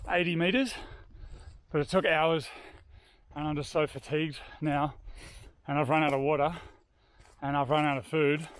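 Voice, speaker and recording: A man in his thirties with an Australian accent, chatting casually to camera as he vlogs outdoors. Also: fairly high pitch. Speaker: vlogger hosting an outdoor rescue video. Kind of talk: casual vlog chat to camera. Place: outdoors in bushland. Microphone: handheld camera at arm's length.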